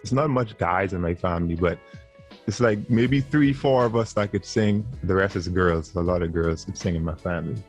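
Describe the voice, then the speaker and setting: A man's voice: sits low, normal speed, soft background music. A man in his twenties with a Bahamian accent, talking earnestly as a guest on a video-call interview. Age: 20s. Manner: earnest, laughs easily. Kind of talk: casual interview chat.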